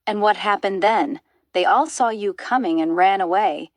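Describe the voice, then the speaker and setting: A woman's voice: medium pitch, normal speed, dry recording, natural voice. A woman voicing a smaller, blunt crocodile in a storybook, scornful and exclaiming. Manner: scoffing, exclamatory.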